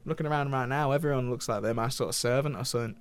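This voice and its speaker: A man's voice: medium pitch, racing, smooth, lightly acted. A man voicing a cheeky northern English voice actor who is blunt and keeps calling everyone mate, with a Northern English accent.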